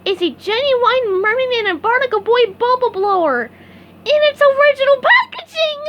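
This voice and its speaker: Brief: woman putting on a very high, bouncy cartoon sponge boy, always thrilled. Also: a little slow, highs cut off, very noisy, exaggerated voice. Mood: excited. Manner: squealing, breathless excitement. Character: cheerful excitable male sea sponge.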